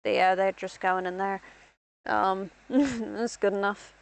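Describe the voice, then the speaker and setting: A woman's voice: medium pitch, fairly even intonation, slow, rough recording. A young woman from Ireland rambling through casual gameplay commentary as a streamer, self-deprecating and talking to herself.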